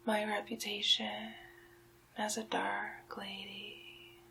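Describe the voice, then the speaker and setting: A woman playing a sly, possessive medieval witch in a hushed, drawn-out, teasing ASMR roleplay. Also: mid-range voice, monotone, vocal fry, a little hiss or hum, exaggerated voice. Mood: coaxing. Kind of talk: ASMR roleplay, soft speaking and whispering.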